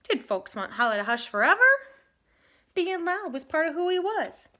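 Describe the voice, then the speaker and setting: A woman reading aloud to children in an expressive style, leaning dramatically on key words. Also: sits high, normal speed. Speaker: storybook reader.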